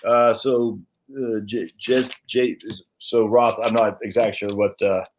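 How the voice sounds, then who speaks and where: A man's voice: fairly low pitch, fairly even intonation, unhurried, slight background noise, poor audio. A man in his forties hosting a webinar, talking in a chatty, informal way with casual slang over a video call from a room at home. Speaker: webinar host.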